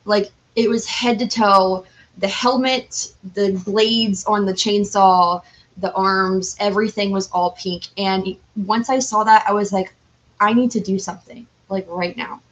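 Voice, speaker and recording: A woman's voice: medium pitch, fairly even intonation, measured pace, rough recording. A woman chatting casually as an interview guest, with a candid, self-deprecating and talkative manner. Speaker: podcast guest, cosplayer.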